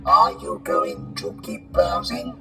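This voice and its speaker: A blunt alien market trader in an audio drama. The voice swings wildly from very high to croaking, in slow, distorted phrases. It sounds far from the mic, with music underneath. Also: huge pitch swings, bright, forceful tone, exaggerated voice. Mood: blunt, haggling. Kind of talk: fan audio drama acting.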